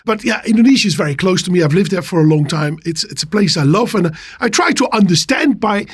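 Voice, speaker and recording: A man's voice: sits high, expressive, quick pace, slightly rough. A man with a Dutch accent, a native Dutch speaker, explaining history conversationally as a podcast guest. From Netherlands.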